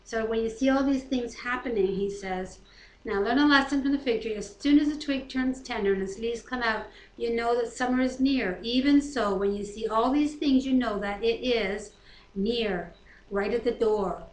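A woman's voice: fairly high pitch, normal speed. A middle-aged woman with a North American accent, reading scripture aloud and commenting casually in a living room. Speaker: co-host of a home Bible reading. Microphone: webcam at about arm's length. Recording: roomy echo, noisy home webcam audio.

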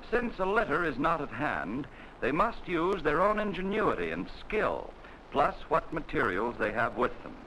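A man narrating a 1940s military training film in a measured, instructional way, on an old film soundtrack. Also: on the high side, sing-song, bright, forceful tone, a little hiss or hum, low-quality recording.

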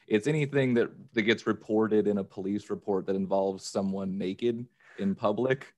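A man's voice: on the low side, steady tone, moderate pace, darkish tone. An American man in easygoing, anecdotal casual conversation on a podcast. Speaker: podcast participant. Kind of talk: casual chat. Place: remote video call from a room indoors.

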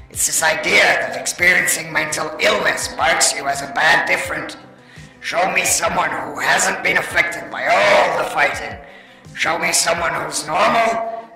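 An earnest, war-weary robot soldier, played by a man, speaks in a highish, gravelly voice. The sound is very echoey, as in an amateur comic dub. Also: measured pace, sharp tone, full character voice. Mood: earnest, pained. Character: earnest, battle-worn male robot soldier pleading for understanding. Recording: echoey room, faint music behind.

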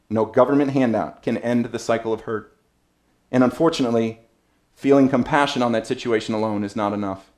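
A man in his thirties delivers a prepared keynote from a podium, reading from his notes in an earnest, measured voice of middling pitch. He addresses a seated audience in a banquet room. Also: a little mellow. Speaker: keynote speaker. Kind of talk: prepared speech read from notes.

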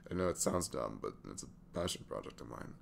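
A man in his twenties playing a sweet, easygoing single dad in an intimate ASMR audio roleplay, chatting haltingly in a velvety voice. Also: lively intonation, a little hiss or hum, full character voice. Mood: candid, slightly sheepish. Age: 20s. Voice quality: deep, gravelly velvet voice. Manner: halting, chuckling, conversational.

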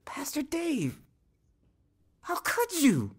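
A man's voice: very high-pitched, wildly swooping pitch, very slow, vocal fry, loud music behind the voice, heavily acted. An actor playing a sweet, earnest young churchgoing man in an audio drama, openly emotional. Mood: anxious, shocked.

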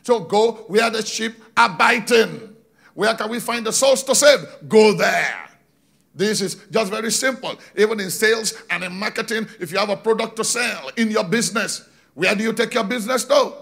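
A middle-aged man preaching on a large church stage into a handheld microphone, animated, slowing down for emphasis and shouting key points. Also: high voice, vocal fry. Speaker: pastor. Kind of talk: sermon. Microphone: handheld mic.

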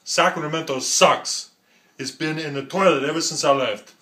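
A man's voice: a little high, normal speed, pushed and bright. A man impersonating a boastful Austrian action-star politician, rambling emphatically in a thick accent. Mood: disdainful. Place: small room at home. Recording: roomy home recording.

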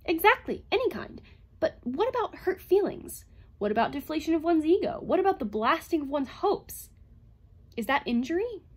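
A woman's voice: a little high, moderate pace, lightly acted. A woman voicing a sardonic, bitter female robot psychologist with a cutting, imperious manner, in a story reading. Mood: sarcastic.

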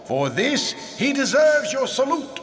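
A commanding fantasy war lord, dramatic range. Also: male voice, very high-pitched, very animated, takes it slowly, very noisy, exaggerated voice. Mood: proud, ceremonial. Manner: formal, declamatory, authoritative. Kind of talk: fantasy audio drama acting.

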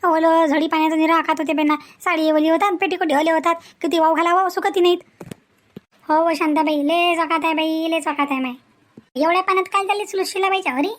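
A woman's voice: extremely high pitch, fairly even intonation, a little fast. A woman playing a chatty, grumbling village housewife in a comic story, with a rural Vidarbha Marathi accent and folksy, exclamatory, repetitive talk.

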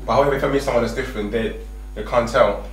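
A man's voice: medium pitch, steady tone, very fast. Young Zimbabwe-raised man telling stories. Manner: rambling, lots of fillers, acts out quoted exchanges. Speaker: guest. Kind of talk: casual chat. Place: small TV studio set. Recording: echoey studio from far off, faint noise.

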